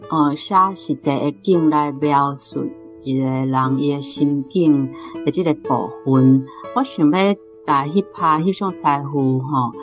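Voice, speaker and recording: Taiwanese female reader, deep register, reflective questioning, dry recording. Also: no echo. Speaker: reading group member asking a question. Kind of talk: thoughtful spoken question.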